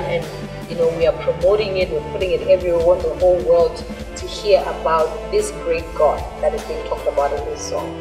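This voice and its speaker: A woman from Malawi, a gospel singer whose first language is Chichewa, speaks earnestly and eagerly, often pausing with fillers. Her voice sits fairly low. It is picked up from some distance in a reverberant space, with faint music underneath. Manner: earnest, enthusiastic, with frequent fillers. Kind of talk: promotional talk to camera. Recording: roomy sound from far off with faint music.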